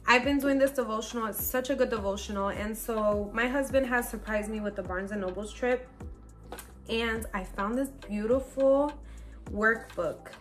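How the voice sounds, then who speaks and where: A woman's voice: on the high side, normal speed, faint music behind. A woman about thirty talking casually to camera in her bedroom, upbeat and chatty with many fillers. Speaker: faith lifestyle vlogger. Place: bedroom at home, indoors.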